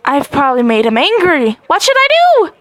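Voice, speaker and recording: Excitable high-voiced woman reading a game. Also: moderate pace, no echo. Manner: excitable, dramatic, with squeaky rises. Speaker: gaming channel host. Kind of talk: reading a story game aloud.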